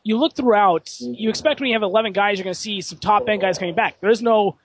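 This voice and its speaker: A man hosting a hockey radio broadcast and chatting with his guest, asking long questions while losing his voice. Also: high voice. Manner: quick, asks long multi-part questions.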